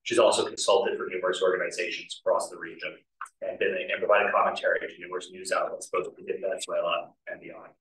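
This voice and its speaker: Man moderating a panel, reads formal bios with a few fumbles, somewhat low voice, echoey room. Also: little pitch movement, fast talker, a bit bright. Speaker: event moderator. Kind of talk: reading introductions. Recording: distant, echoey room mic.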